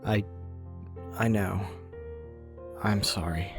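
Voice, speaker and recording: Man playing a troubled young skeleton monster, guilt-ridden, slow halting delivery, brooding, comic dub, music behind. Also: lowish voice, fairly even intonation, gravelly, exaggerated voice. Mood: guilty, hesitant. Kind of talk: amateur comic dub voice acting. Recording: music bed under the voice.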